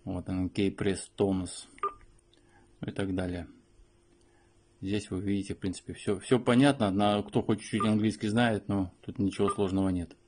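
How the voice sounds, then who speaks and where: Low-pitched man giving a product walkthrough. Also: fairly even intonation, normal speed, darkish tone, a little hiss or hum. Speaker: host of a phone review. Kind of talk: unscripted step-by-step product walkthrough.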